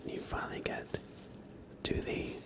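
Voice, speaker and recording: A man doing ASMR on a live stream in a breathy, hushed whisper, speaking gently and softly. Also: a little slow. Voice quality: breathy whisper with soft-spoken stretches. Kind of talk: ASMR, whispered relaxation and guided imagery.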